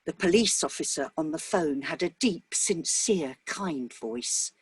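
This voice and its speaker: A woman's voice: low voice, a bit bright, breathy edge, no echo. A woman reading a dramatic short story as scripted narration.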